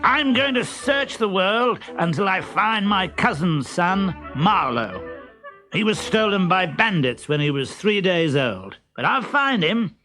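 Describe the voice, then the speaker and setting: A man's voice: high voice, sing-song, measured pace, music in the background, pitched above the performer's own voice. A man voicing a stern, stubborn rich father in a storybook, declaring his orders with emphatic force. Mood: stern, resolute.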